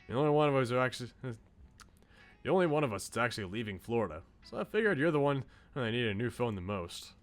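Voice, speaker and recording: A man's voice: medium pitch, very animated, fairly quick, natural voice. A man voicing a laid-back, loyal young guy in a visual novel, casual and earnest with slangy talk.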